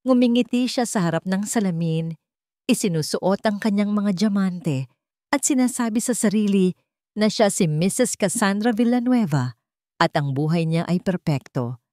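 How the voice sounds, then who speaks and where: A woman's voice: mid-range voice, lively intonation, normal speed, mellow, no room sound, studio quality. A woman with a Filipino accent reading a scripted story as a dramatic narrator.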